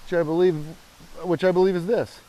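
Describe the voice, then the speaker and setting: A man's voice: high voice, fairly even intonation, fairly quick, lo-fi sound. An American man, around his forties, chatting casually and irreverently as he hosts a rambling comedic walking vlog, swearing freely. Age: 40s. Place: outdoors, walking on a trail.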